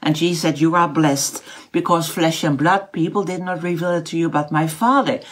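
Husky-voiced Dutch woman teaching faith. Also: sits low, moderate pace. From Netherlands. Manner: searches for words with many fillers and restarts. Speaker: house church teacher. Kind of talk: casual online teaching.

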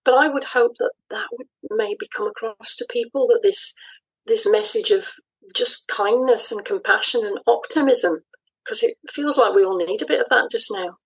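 A woman's voice: fairly high pitch, normal speed, slightly rough, rough recording. A woman in her sixties speaking as an interview guest, reflecting and explaining thoughtfully in a conversational way. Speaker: interview guest, audio drama writer.

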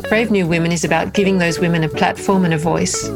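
A woman's voice: on the low side, steady tone, quick pace, gravelly, over loud music. A woman hosting an interview podcast, reading an upbeat scripted introduction before questioning her guest. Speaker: podcast host.

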